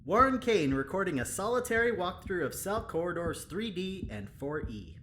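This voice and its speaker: Man as talkative well-meaning prison guard, lively intonation, coaxing, audio drama, noisy ambient recording. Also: high voice, normal speed. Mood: musing. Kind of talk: audio drama acting, spoken log. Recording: clearly noisy, ambient background.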